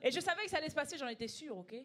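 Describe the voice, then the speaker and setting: Young woman doing animated stand-up. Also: high voice, racing, some chatter behind. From Belgium. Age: early 20s. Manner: animated, conversational, emphatic, acting out dialogue. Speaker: stand-up comedian. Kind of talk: live stand-up storytelling. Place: comedy club stage. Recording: live stage with audience laughter. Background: audience laughing.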